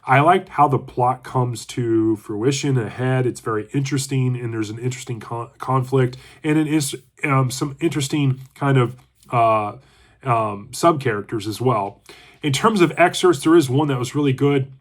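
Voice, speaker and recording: Congested guy doing a laid back book review, chatty, kinda thinking out loud. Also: mid-range voice, normal speed. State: congested from allergies. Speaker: book reviewer and channel host. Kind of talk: casual book review talk.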